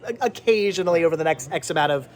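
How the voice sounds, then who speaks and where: A man with a notably high voice hosts a casual vlog. He talks informally to his viewers, rambling and circling back over his own phrases. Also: very fast. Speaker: vlog host. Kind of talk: casual chatty vlog to camera. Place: on location at a flea market.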